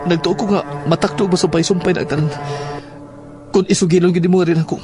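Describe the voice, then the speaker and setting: A man's voice: sits high, fairly even intonation, fast, close to an everyday voice. A man playing a serious, insistent schoolboy in an audio drama. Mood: earnest, insistent. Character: serious schoolboy eager to uncover family secrets. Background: music.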